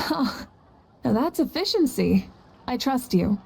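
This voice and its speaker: A woman playing a confident, composed warrior leader in a video game, with an assured, measured way of talking. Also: sits high, big pitch swings, gravelly, a little mellow, slight background noise, full character voice. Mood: composed authority. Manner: assured, measured, lightly teasing.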